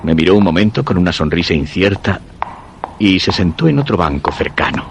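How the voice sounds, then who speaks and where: An actor with a Spain accent playing a shy, obsessed man who tells his own suspense story in measured, literary radio-drama narration. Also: sits low, very animated, creaky, background noise, full character voice. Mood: measured, brooding. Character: Guillermo, shy obsessed man secretly following a young woman.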